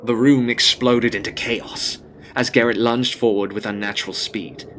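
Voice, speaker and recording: A man's voice: medium pitch, fairly even intonation, normal speed, a bit bright, slight background noise. A man narrating a gothic audio drama as scripted, with grave, dramatic phrasing.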